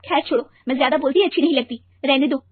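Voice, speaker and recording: A woman's voice: high-pitched, fast talker, no echo, phone-quality sound, lightly acted. A woman voicing a young married daughter, plaintive and exasperated, in a cartoon family story. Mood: annoyed. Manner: whining, protesting.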